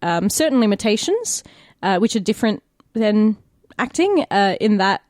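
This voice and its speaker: A woman's voice: medium pitch, very animated, moderate pace, darkish tone, no room sound. A young Australian woman chats casually on a podcast with a mid-range voice. She thinks aloud in hesitant, informal phrases, and the sound is close and dry. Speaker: podcast co-host, actor.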